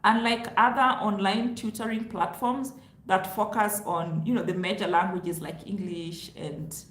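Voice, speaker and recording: A woman's voice: mid-range voice, steady tone, unhurried. A woman whose first language is Luo, giving casual money advice in a chatty, explaining way. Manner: chatty, explains with rhetorical asides. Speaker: vlogger. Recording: roomy echo with faint hiss.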